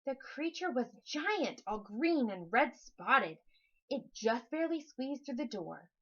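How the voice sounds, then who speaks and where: A woman reading a rhyming children's story aloud in an expressive narrating style. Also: on the high side, slow pace, husky, dull audio. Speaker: storybook reader.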